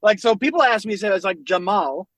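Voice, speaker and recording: Animated, swearing man telling family stories. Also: high voice, brisk. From United States, Northwest Indiana. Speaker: podcast guest. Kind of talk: casual storytelling conversation.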